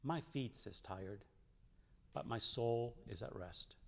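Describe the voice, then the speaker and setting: A man reading a written letter aloud in a solemn way, with measured, deliberate phrasing. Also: mid-range voice, gravelly. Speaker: church member reading aloud. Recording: long echoing reverb.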